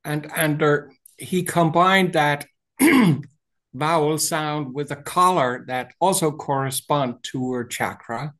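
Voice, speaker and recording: An older Danish-accented man talks slowly and haltingly, with a slightly raised pitch. He clears his throat often and restarts his phrases while speaking through a video call webcam in a small room. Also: a bit bright. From Denmark. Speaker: author and voice teacher. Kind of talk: interview over video call.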